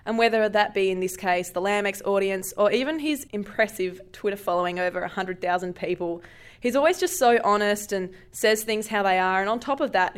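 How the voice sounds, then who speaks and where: A woman's voice: medium pitch, clean tone. A woman with an Australian accent talking casually and chattily as an interviewer.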